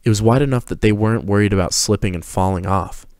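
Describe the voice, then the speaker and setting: A man's voice: on the low side, quick pace, darkish tone, smooth, no echo, studio quality. A man reading scripted fiction aloud as the narrator of a dramatic story.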